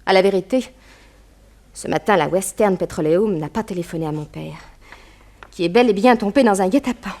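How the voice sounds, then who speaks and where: A woman's voice: mid-range voice, moderate pace, long pauses, warm tone, natural voice. An actress playing an anxious, determined young businessman's daughter in a 1960s French radio drama, speaking earnestly and emphatically. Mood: earnest, urgent. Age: young adult. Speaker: actress in a detective radio serial.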